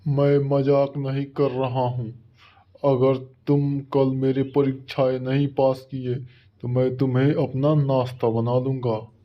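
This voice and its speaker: A man's voice: mid-range voice, level tone, takes it slowly, lo-fi sound, close to an everyday voice. A man playing a proud, hot-tempered lion king in a children's cartoon story, commanding and threatening. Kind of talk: animated children's story dialogue.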